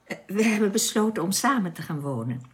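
An actress playing a reserved, gentle alien woman in a radio drama, speaking carefully and formally. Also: medium pitch, lively intonation, a little fast, close to an everyday voice. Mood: guarded.

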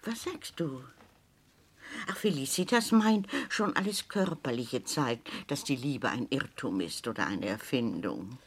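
A woman's voice: very deep voice, animated, measured pace, slightly rough, full character voice. A woman playing a coolly ruthless, pompous elderly widow in a radio play, speaking formally and matter-of-factly. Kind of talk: German radio play acting.

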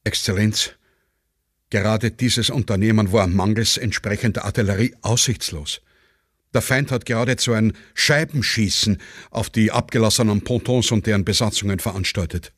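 A man's voice: fairly low pitch, moderate pace, no echo, clean studio sound, close to an everyday voice. A man playing a grave army major who reports in formal military language and pleads against hopeless orders. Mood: grave, urgent.

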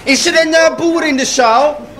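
A man's voice: very high-pitched, unhurried, heavy background noise. An actor playing a brusque, incredulous man in a radio play, emphatic and scornful. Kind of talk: Dutch radio play acting. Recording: roomy radio play sound.

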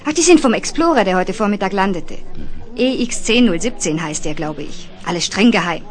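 An actress playing a chatty, gossipy news kiosk seller in a 1970s German radio play, confiding and lively. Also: female voice, mid-range voice, brisk, natural voice. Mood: chatty, helpful.

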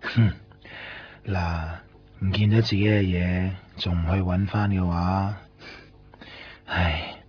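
A man acting a cocky, self-assured finder of lost things in a radio drama, deliberate in manner, with a Hong Kong Cantonese accent. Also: sits low, flat delivery. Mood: cocky, teasing. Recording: dull lo-fi radio recording, music behind.

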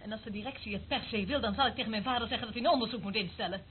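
An actress playing a haughty, prim, morally outraged general's daughter in a Dutch radio drama, speaking reproachfully. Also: female voice, fairly high pitch, rapid-fire, lightly acted. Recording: tinny, narrow-band old radio recording, faint noise.

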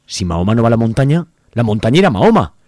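A man's voice: medium pitch, sing-song, rapid-fire, a little mellow, dry recording, clean studio sound, close to an everyday voice. An actor playing a lovestruck, overwrought young man in a comic radio serial. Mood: stunned, determined. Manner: overwrought, theatrical. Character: lovestruck, melodramatic young man, desperate to win his beloved.

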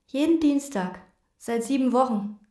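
Hesitant hotel maid, higher voice, roomy echo. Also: female voice, a little slow, darkish tone. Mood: probing. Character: nervous, obsessive young hotel maid. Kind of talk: radio drama acting. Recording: roomy studio reverb.

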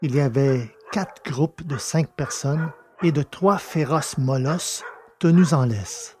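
A man's voice: a little high, slow, darkish tone, slight background noise. A man reading scripted science-fiction story narration.

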